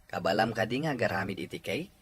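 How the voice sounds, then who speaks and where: A man's voice: fairly low pitch, expressive, fast, lightly acted. A man playing an easygoing, gracious husband in a family audio drama, with an Ilocano accent. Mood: agreeable. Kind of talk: acted family drama dialogue.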